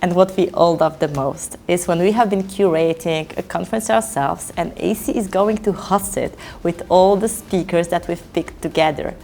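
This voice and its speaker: A woman on an agency team, speaking in an interview in a measured, admiring way. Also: fairly low pitch, vocal fry, warm tone, a little hiss or hum.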